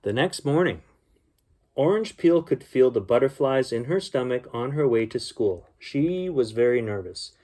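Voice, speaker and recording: A man with a soft-edged, mellow tone reads a bedtime story for children. His delivery is gentle and unpolished, moving between storybook reading and informal talk to his young listeners. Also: mid-range voice, lively intonation, moderate pace. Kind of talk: storybook read-aloud with casual asides.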